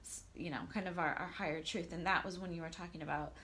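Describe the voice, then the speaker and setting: A woman's voice: fairly low pitch, fairly even intonation, quick pace, bright, forceful tone. A woman life coach speaking as an interview guest, earnest and enthusiastic, sometimes stumbling over how she starts a thought. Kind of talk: conversational interview.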